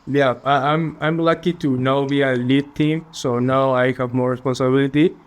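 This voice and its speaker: A young man from Peru with a Peruvian Spanish accent, answering interview questions earnestly and a little haltingly. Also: medium pitch, steady tone, smooth. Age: young adult. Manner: earnest, polite, slightly halting phrasing. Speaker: finance student guest.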